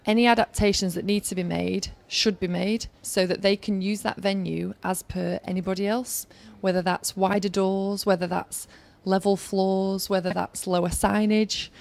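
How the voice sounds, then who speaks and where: A woman's voice: mid-range voice, a little slow, creaky, hardly any pauses. A woman in her thirties with a Yorkshire accent, answering interview questions in an earnest, advice-giving way. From Yorkshire, England. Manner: earnest, advice-giving, talks in a steady flow. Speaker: interviewee, former Olympic organising staff.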